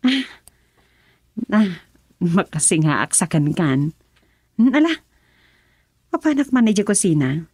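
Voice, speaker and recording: An actress playing a composed, well-off madam of a political household in an Ilocano radio drama, measured and authoritative. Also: female voice, fairly low pitch, very animated, a little mellow, husky, dry recording, studio quality, heavily acted.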